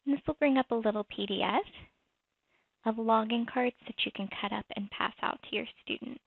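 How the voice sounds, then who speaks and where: Woman with a creaky voice demoing software, tinny. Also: a little high, fairly quick, no echo. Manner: conversational, explanatory. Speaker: software demo presenter. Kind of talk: screen-recorded software walkthrough. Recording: tinny phone-like dry audio.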